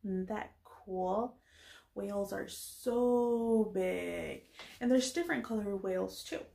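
A young woman teaches little children at a very unhurried pace, pausing between short phrases. Her voice sits at a medium pitch. The sound is roomy and echoing, picked up from a distance. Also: creaky, dark tone. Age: young adult. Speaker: preschool teacher. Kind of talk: slow lesson for young children, filmed read-aloud greeting. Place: small indoor classroom-style room with bulletin board. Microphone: camera a few steps away. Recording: roomy echo, distant microphone.

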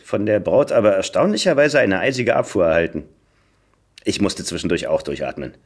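A man with a slightly low voice reads a comic story aloud. His pitch moves around a lot, giving the narration an animated shape, while the delivery stays dry and wry. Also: brisk. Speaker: podcast guest, voice actor. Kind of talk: expressive reading aloud of a satirical first-person story.

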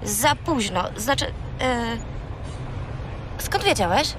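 A lively, affectionate young girl in a children's radio play. The voice is high and animated, with faint noise behind it. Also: takes it slowly. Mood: teasing, affectionate. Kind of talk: children's audio drama acting.

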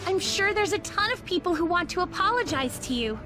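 A gossipy, apologetic schoolgirl in a game drama. Her very high voice moves around a lot, with music clearly behind it. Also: expressive, fairly quick. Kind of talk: English video game dub.

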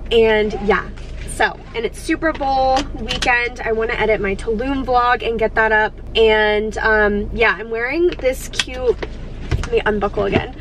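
A young woman in her twenties chatting to camera from a parked car, sounding congested from a cold and gushing with excitement. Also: on the high side, background noise. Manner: gushing, upbeat, lots of "like" and "so excited". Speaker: lifestyle vlogger. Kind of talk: casual chat to camera. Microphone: camera on the dashboard.

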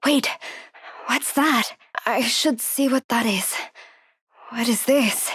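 A woman's voice: on the high side, slow, a bit bright, no echo, clean studio sound, exaggerated voice. An actress playing a frightened young woman survivor in a horror video game, urgent and pleading. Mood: wary, curious. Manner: breathy urgent self-talk, pleading.

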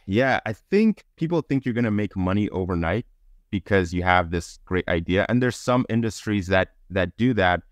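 A man's voice: lowish voice, expressive, normal speed, no room sound, clean studio sound. A middle-aged man from Zambia who later lived in Belgium, giving conversational, explanatory interview answers as a podcast guest and thinking aloud. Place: indoors on a video call.

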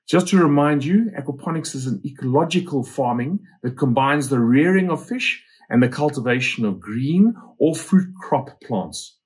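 A middle-aged man with a husky voice, giving a scripted promotional presentation as a company founder. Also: mid-range voice, animated, moderate pace, hardly any pauses. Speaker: company founder and farmer presenting his farm.

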